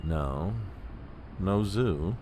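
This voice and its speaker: An actor playing a fussy, anxious, long-suffering presidential aide in a comedic audio drama. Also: male voice, low-pitched, animated, extremely slow, a little mellow, a little hiss or hum, full character voice. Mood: patient explaining. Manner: fussy, deferential, hesitant.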